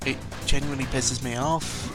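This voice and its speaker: Male gaming vlogger, mid-pitched, loose off-the-cuff delivery, hesitant and grumbly, clear music underneath. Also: steady tone, moderate pace. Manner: hesitant, meandering, opinionated grumbling with mild swearing. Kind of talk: rambling casual audio log over gameplay.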